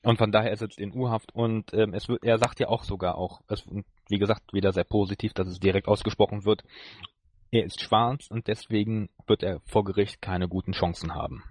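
Male German podcast co-host, lowish voice, casual discussion, very dry recording. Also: fairly even intonation, a little fast, darkish tone, no room sound. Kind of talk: casual discussion of audio drama.

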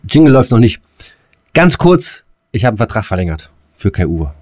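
A man co-hosts a loose, unscripted podcast. He talks colloquially, in a halting way, often correcting himself. The sound is dry, with little room in it. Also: fairly low pitch, big pitch swings, darkish tone, no echo. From northern Germany. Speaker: podcast co-host. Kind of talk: casual unscripted podcast banter.

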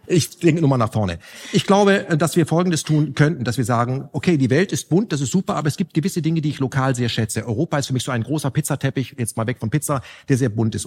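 Male panel guest, quick and emphatic, rough-edged voice, big reverberant room. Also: mid-range voice. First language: German. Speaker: talk show guest. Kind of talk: opinionated panel discussion. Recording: reverberant hall.

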